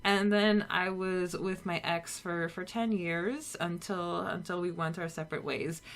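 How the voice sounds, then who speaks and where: A woman's voice: fairly low pitch, fairly even intonation, measured pace. A woman in her thirties from Canada co-hosting a podcast, talking in a casual, conversational, reminiscing way. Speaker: podcast co-host.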